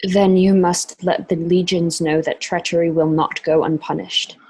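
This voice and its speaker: A woman playing an anguished, proud Roman noblewoman in an amateur radio drama, with a husky voice. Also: lowish voice, little pitch movement, a little slow, a little mellow, rough recording. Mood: guarded, cool.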